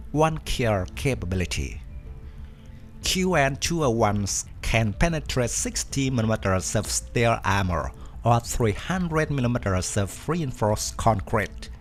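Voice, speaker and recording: A man reading a scripted documentary narration at an even, measured pace. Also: mid-range voice. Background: faint background music.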